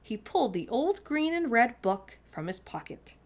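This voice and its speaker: A woman reading a children's picture book aloud in an expressive storytime narration style. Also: on the high side, normal speed.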